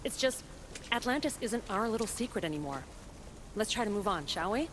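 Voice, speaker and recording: Earnest woman doctor, voiced by an actress, lively intonation. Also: mid-range voice, a little fast, lightly acted. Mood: conciliatory. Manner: earnest, reproving. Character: anxious, caring woman doctor, earnest and firm. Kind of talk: video game cutscene acting. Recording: background noise, game ambience.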